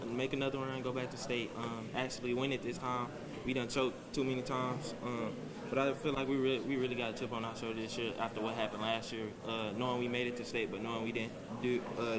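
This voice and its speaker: Young guy, football player, laid back, lots of ums, crowd noise behind. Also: mid-range voice. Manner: laid-back, rambling with many fillers. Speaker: high school football player. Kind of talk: casual interview answers.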